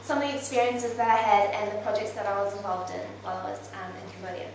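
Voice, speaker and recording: A woman's voice: medium pitch, steady tone, fast talker, far from the mic, a little hiss or hum. Young woman speaking in an echoey church hall. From Malaysia, raised in New Zealand. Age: 20s. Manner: informal, with frequent ums and soft laughs. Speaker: church speaker presenting her mission work. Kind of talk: presentation talk to a church congregation. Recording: very echoey hall, recorded from afar.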